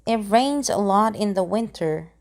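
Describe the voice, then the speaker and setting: A woman reading simple grammar example sentences aloud in a slow, deliberate way, pausing between each one. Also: medium pitch, lively intonation.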